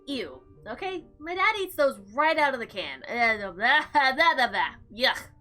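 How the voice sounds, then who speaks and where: A woman plays through a visual novel, reading it aloud and breaking off for casual, playful comments. Her voice is high and bright, swinging widely in pitch as she goes. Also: measured pace, soft background music. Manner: expressive, playful asides. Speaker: gaming video host.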